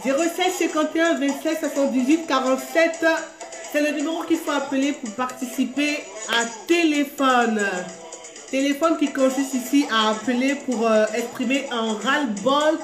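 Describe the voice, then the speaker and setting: A fairly high-pitched woman with a cold hosts a live call-in show in casual, rambling chat. She hesitates often and repeats herself. She is recorded in an echoey room with music playing behind her. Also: normal speed. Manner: chatty, hesitant with many fillers, repeats herself. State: has a cold.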